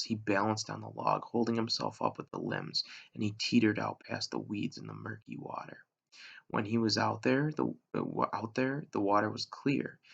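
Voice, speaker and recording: A man reads a children's novel aloud in a low, very creaky, gravelly voice. He narrates at a measured rate, leaning on dramatic pauses and emphasis. The recording sounds somewhat dull and muffled. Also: fairly bright tone. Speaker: elementary school reader, story narrator.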